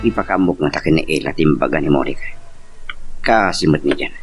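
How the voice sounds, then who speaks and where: A man's voice: very deep voice, brisk, music in the background. A man playing an earnest friend and go-between who urges and questions in an amateur radio-style love drama. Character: earnest male friend, a go-between giving advice and help.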